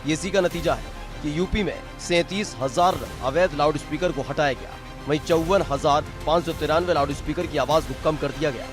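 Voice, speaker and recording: A man's voice: on the high side, normal speed. A man reading a scripted news report as a voice-over, with a punchy, emphatic and dramatic delivery. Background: music bed.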